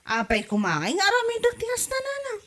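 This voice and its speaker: A woman's voice: extremely high pitch, wildly swooping pitch, a little fast, fairly bright tone, exaggerated voice. A woman playing a gossipy, helpful neighbourhood busybody in an audio comedy drama, with an Ilocano Filipino accent. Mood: pert, defensive.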